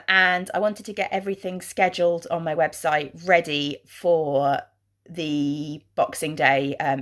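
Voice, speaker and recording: A woman vlogging in a chatty, rambling way, her voice husky from congestion. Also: low-pitched. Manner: rambling, chatty, with many ums. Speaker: craft vlogger. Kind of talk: casual vlog chat. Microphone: handheld camera.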